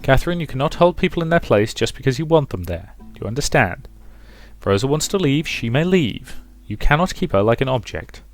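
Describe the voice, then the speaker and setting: A man voicing a gentle but firm father in a visual novel, patient and admonishing. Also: medium pitch, sing-song, brisk, faint music behind.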